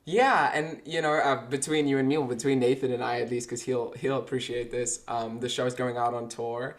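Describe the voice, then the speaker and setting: A young man with a South African accent, a guest performer chatting casually between songs, relaxed and full of fillers. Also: medium pitch, normal speed. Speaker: guest performer, singer-guitarist.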